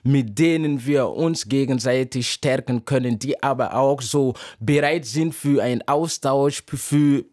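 A man's voice: medium pitch, unhurried, few pauses, a little mellow. Hesitant man. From Burkina Faso. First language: a language of Burkina Faso. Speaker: interview guest, musician. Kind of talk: reflective interview talk.

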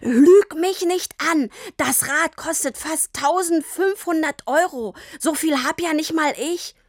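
A woman voicing a hot-tempered, hurt father in a dramatic audiobook, angry and hissing or shouting. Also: medium pitch, fairly even intonation, moderate pace, no echo, clean studio sound, close to an everyday voice. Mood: accusing. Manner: shouting in anger, hissing through his teeth.

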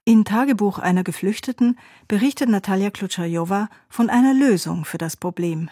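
A woman presenting a radio culture feature, reading a scripted narration in a calm, measured way. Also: medium pitch, no room sound, studio quality.

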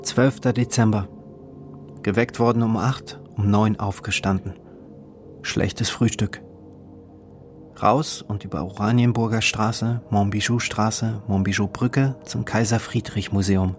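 A man with a fairly low voice narrates a literary diary in short, clipped phrases. It is a scripted audiobook-style reading, with music clearly playing behind him. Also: steady tone, unhurried. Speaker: reader of a travel diary.

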